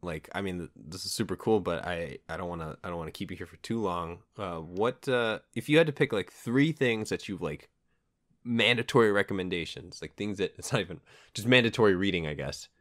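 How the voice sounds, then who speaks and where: A man's voice: low-pitched, brisk, fairly bright tone. A man hosting a podcast in a casual, informal interview style, rambling as he puts his questions and comments. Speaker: podcast host.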